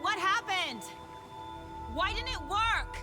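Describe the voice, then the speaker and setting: A woman's voice: extremely high pitch, expressive, slow pace, over music, full character voice. An actress playing a desperate, frustrated woman in a video game, speaking with anguished emphasis. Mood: distraught, frustrated. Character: desperate, frustrated woman, bitterly questioning her failure. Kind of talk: dramatic video game acting.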